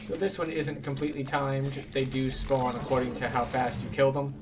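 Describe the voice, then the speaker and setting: A man's voice: mid-range voice, little pitch movement, normal speed, far from the mic, noisy background. A man giving casual walkthrough commentary as a guide to a video game.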